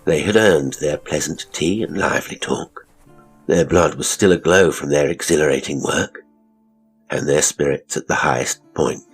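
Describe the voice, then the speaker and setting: A man's voice: very deep voice, moderate pace, breathy edge, faint music behind, rough recording. A man reading literary fiction aloud as a scripted audiobook narration.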